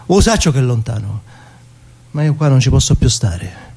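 An actor with a Palermo Sicilian accent playing a weary, gruffly protective young man in a radio drama, speaking in terse, subdued dialect lines. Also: mid-range voice, very animated, normal speed, warm tone, exaggerated voice. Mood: resigned. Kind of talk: theatrical radio drama dialogue.

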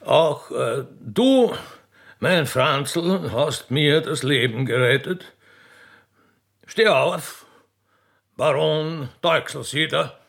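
Man acting an old, halting Austrian emperor, raised husky voice. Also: a little high, lively intonation, a bit bright, voice pushed up, full character voice. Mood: gracious, moved. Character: old Austrian emperor, gracious, doddering and benevolent.

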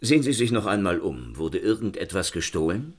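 An actor playing a dogged Paris police inspector in a radio play who questions witnesses insistently. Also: male voice, low voice, sing-song, a little fast. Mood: questioning.

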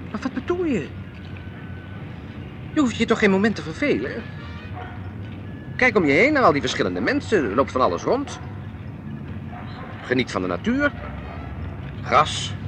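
An actor playing a jocular, complacent married shopkeeper in a radio play. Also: male voice, fairly high pitch, a little slow, pauses often, background noise. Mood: cheerfully persuading. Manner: animated, placating, teasing.

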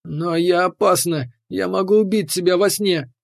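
A man's voice: a little high, fairly even intonation, takes it slowly, creaky, darkish tone, clean tone, dry recording, studio quality. A man voicing an anguished young woman soldier in an audiobook, pleading, tearful and strained as she fights for her mind. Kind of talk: dramatic audiobook character voice.

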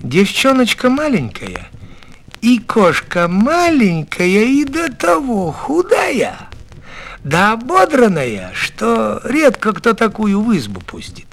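Male storyteller for fairy tales: high voice, slow pace, expressive narration. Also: gravelly.

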